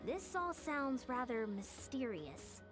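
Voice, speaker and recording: An actress playing a composed, cautious young female strategist in a video game, articulate, polite and measured. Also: high-pitched, big pitch swings, over music, full character voice. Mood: wary, analytical.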